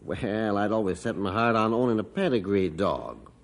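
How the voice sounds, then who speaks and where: A modest middle-aged rancher who tells his story hesitantly in a vintage radio drama. His voice sits low but swings widely in pitch. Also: big pitch swings, normal speed. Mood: reflective. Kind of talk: 1950s radio drama acting.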